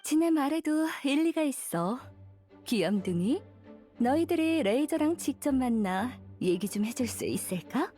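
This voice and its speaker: A woman playing an elegant, languid witch librarian in an anime game, coy and unhurried, with a gently caring, teasing manner. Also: a little high, lightly acted. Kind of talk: Korean anime game voice acting.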